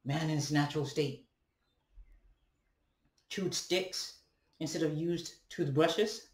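Young ghanaian guy thinking out loud to his webcam, bit higher pitched, stop and start, roomy echo. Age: young adult. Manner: halting, deliberate, rhetorical questions and tag "right?". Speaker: vlogger. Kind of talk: reflective monologue to camera. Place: indoors, room at home with bare walls and ceiling fan. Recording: echoey room, webcam at a distance.

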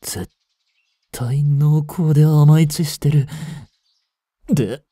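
A man's voice: a little high, steady tone, slow, dark tone, no room sound, studio quality. An actor playing a blunt, bossy vampire older brother in a Japanese romance voice drama, scolding as he holds back his hunger. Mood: stern. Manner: blunt, rough-spoken, bossy.

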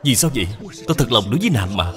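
A man voicing an earnest, well-to-do young suitor with a courtly, insistent manner in an animated dub. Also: mid-range voice, very fast. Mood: eager, insistent. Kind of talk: dubbed animated moral tale. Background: background music.

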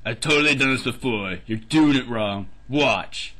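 A man voicing a brash, sarcastic, foul-mouthed teenage shrine-maiden heroine with a rough voice in an anime parody dub. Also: medium pitch, moderate pace, natural voice.

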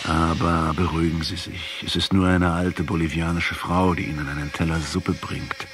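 An actor in a radio play playing a probing male essayist who speaks in a deliberate, insistent way. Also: low voice, flat delivery, over music, lightly acted. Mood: calm, firm. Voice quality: husky. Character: probing, measured male essayist from Buenos Aires.

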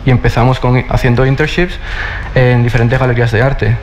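A young man from Madrid talks casually as a curator on a panel. His voice sits at a mid pitch, and he drops English words into his speech. Faint auditorium ambience is heard behind him. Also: little pitch movement, fairly quick, highs cut off, a little hiss or hum. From Madrid, Spain. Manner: casual, mixes in English terms. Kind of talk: panel talk. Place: stage in a large auditorium.

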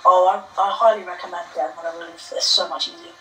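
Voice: mid-range voice, steady tone, fast, pushed and bright, faint music behind. A player chatting casually during a game, teasing and arguing with friends. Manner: teasing, argumentative. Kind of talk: casual gaming chat. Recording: echoey room, far from mic.

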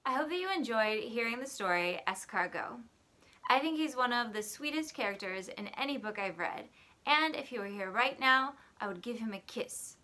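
A woman's voice: a little high, lively intonation, normal speed, sharp tone. A woman in her thirties, a teacher, giving a friendly sign-off to children from a room at home. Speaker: first-grade teacher making videos for children. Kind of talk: friendly sign-off to young viewers. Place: small room at home, in front of a bookshelf.

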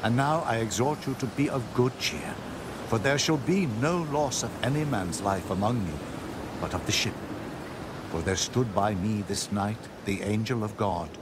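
A man's voice: fairly low pitch, moderate pace, background noise, natural voice. A man playing a steadfast apostle in a dramatized scripture reading, earnest and declamatory as he exhorts frightened shipmates. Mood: earnest, reassuring.

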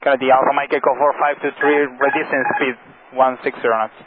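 A man's voice: medium pitch, little pitch movement, fast talker. A man, an airline pilot, reading back instructions over the radio. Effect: radio transmission. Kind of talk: radio readbacks. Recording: phone-like cockpit radio, faint noise.

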